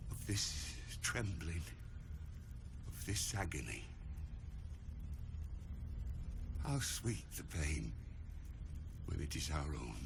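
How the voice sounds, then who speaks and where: A man's voice: extremely low pitch, very animated, vocal fry, background noise, full character voice. A man playing a solemn, anguished being who revels in pain, speaking grave, archaic lines in a video game cutscene. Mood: solemn, savoring pain. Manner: slow, grave, archaic declamation.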